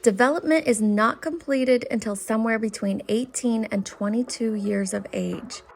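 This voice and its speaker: Gravelly woman narrating commentary. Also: a little high, moderate pace, a little mellow, slight background noise. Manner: reads from notes, critical and earnest. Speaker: commentary channel host. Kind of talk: scripted commentary voice-over.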